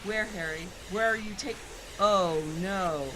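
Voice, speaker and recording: A woman's voice: medium pitch, takes it slowly, background noise, natural voice. A woman playing a brave, defiant captive in an audio drama, earnest and emotional. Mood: frightened.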